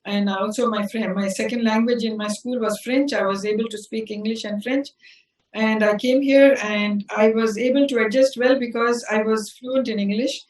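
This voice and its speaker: A woman's voice: on the high side, fairly even intonation, a little mellow. Older woman recalling, from a distance. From South India. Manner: unhurried, restarts phrases often. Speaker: interview guest, community leader. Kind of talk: reflective personal storytelling. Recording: roomy sound from a distant mic.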